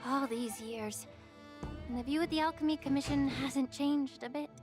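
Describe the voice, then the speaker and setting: A woman plays a gracious and quietly shrewd healer from a dragon clan in a video game. Her voice sits fairly high and she phrases everything with formal, measured courtesy. Music plays softly underneath. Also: natural voice. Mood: wistful, calm. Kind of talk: scripted video game voice acting.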